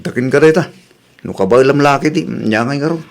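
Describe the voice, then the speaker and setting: A man's voice: mid-range voice, expressive, fairly quick, vocal fry. A man playing a suspicious, nagging village husband with an Ilocano accent, questioning and accusatory, in a comedy audio drama.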